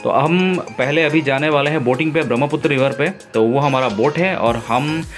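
A man narrating his own travel vlog in a relaxed, enthusiastic way, pausing often with fillers, filmed on a phone outdoors on a river boat. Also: fairly high pitch, faint music behind. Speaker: travel vlogger.

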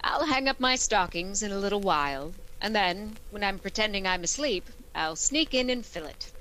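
Wry, animated woman schoolteacher played by an actress. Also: mid-range voice, normal speed, rough recording. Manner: dry, sardonic. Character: wry, quick-witted woman English schoolteacher. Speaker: lead actor in a radio play recreation. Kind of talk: old-time radio comedy acting. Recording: clear background noise.

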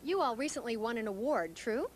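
Lady reporter from texas chatting live on tv, kinda high voice, bit of noise on the mic. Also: expressive, brisk. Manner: chatty, informal. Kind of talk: live on-location news interview. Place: indoors at a cable TV studio and edit room. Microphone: handheld stick microphone. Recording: noisy live TV location sound.

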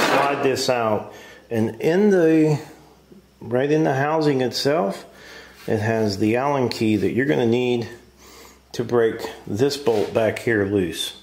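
A middle-aged American man giving a casual hands-on tool demonstration in a plainspoken, folksy way. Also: medium pitch. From United States. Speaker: homestead vlogger reviewing a tool. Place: open wooden workshop shed. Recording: roomy echo, clear background noise, clatter.

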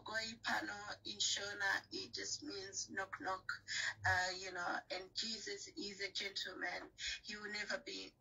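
A woman's voice: medium pitch, gravelly, bright, forceful tone, breathy edge, recorded from a distance, low-quality recording. A woman from Zimbabwe, a gospel singer, speaking earnestly on a phone-in radio interview with frequent restarts. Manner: earnest, prayerful, rambling with restarts.

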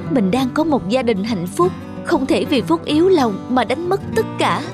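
A woman voicing a troubled young wife and mother in a cartoon, soft-spoken and earnest. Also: sits high, big pitch swings, normal speed, over music. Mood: remorseful.

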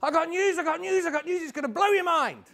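A man voicing an excitable, bossy, impulsive young princess in a told folk tale. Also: high-pitched, takes it slowly, creaky, breathy edge, heavily acted. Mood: excited.

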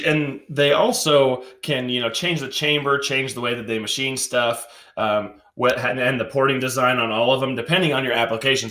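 Upbeat bright-voiced host in a roomy garage. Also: male voice, medium pitch. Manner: upbeat, enthusiastic. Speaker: show host. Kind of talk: casual podcast chat. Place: garage studio indoors. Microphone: headset.

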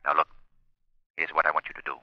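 An actor playing an ominous, commanding invisible crime fighter in 1930s radio drama, speaking gravely through a filter. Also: male voice, extremely low pitch, monotone, fast. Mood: stern. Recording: dull, lo-fi 1930s radio recording.

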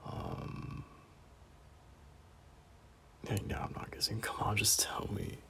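A young man playing a teasing, bashful male friend in an intimate, casual romance audio roleplay, soft-spoken and halting. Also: whispered. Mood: playful teasing. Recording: noticeable background noise.

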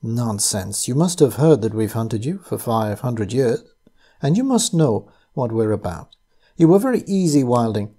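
A man reading aloud, voicing a soft-spoken, teasing young woman who pilots a flying ship in a storybook. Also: mid-range voice, very animated, unhurried. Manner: soft, lilting, playfully condescending.

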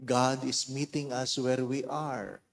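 A middle-aged Filipino priest giving a homily in a large church, speaking into a handheld microphone in slow, measured phrases with deliberate pauses. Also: male voice, mid-range voice, level tone, vocal fry. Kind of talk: homily mixing English and Tagalog. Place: large church sanctuary, in front of the altar.

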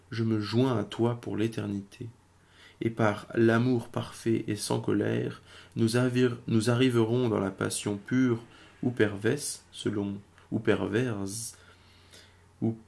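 Male amateur poetry reader: low, creaky, solemn and measured delivery. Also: warm tone.

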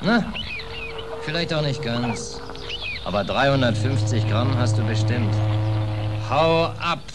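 A man's voice: medium pitch, lively intonation, slow pace, heavy background noise. A man playing a hungry, penniless drifting sailor in a 1940s radio drama, wry, muttering and colloquial.

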